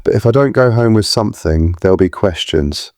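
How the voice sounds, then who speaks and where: Low-voiced, shaken but kindly man played by an actor. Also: expressive, brisk, a little mellow, no room sound, studio quality, lightly acted. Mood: flustered. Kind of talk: audio drama acting.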